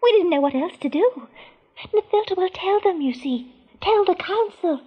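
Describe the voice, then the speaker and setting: A woman's voice: very high-pitched, expressive, normal speed. A woman playing an anxious, pleading young rabbit doe in a radio play. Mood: frightened. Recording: slightly dull radio recording.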